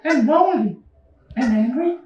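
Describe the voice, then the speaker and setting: A woman voicing a young, squeaky monster puppet telling stories in a simple, childlike way at a live puppet show. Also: lively intonation, moderate pace, a little mellow, muffled recording, slight background noise. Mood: friendly. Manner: childlike, simple storytelling to an audience. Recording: echoey room, slightly distant mic.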